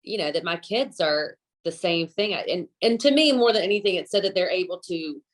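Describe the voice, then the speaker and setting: A woman's voice: lowish voice, animated, normal speed. A woman with a Southern US accent, chatting casually as an interview guest, talkative and emphatic about her views. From Alabama, southern US. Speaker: guest, chef. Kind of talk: casual podcast conversation.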